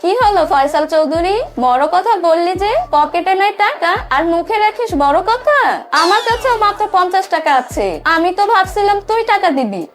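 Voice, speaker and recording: Sharp-tongued cartoon village woman, extremely high, sarcastic, Bangladeshi accent, music under voice. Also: animated, moderate pace. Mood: indignant, sarcastic. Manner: scolding, sarcastic. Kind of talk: Bengali cartoon voice-over. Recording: music behind the voice.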